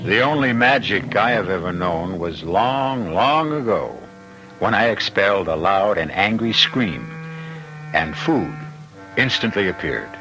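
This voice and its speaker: An actor as the wry, droll host of an old radio mystery series, musing in a deliberate, theatrical manner. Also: male voice, low voice, big pitch swings, over music, full character voice, low-quality recording. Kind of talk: 1970s-style radio drama host narration.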